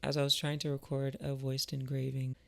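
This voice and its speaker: A man's voice: medium pitch, monotone, fairly quick, vocal fry. A man talking casually and reflectively about creative work, thoughtful and informal, searching for his words as he goes. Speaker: musician and artist, video creator.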